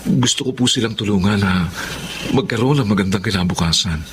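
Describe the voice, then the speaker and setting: A man's voice: fairly low pitch, fairly quick, natural voice. A man playing a kindhearted, humble widowed working man who is a reformed drinker, in a Filipino radio drama. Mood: earnest, humble. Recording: clear background noise.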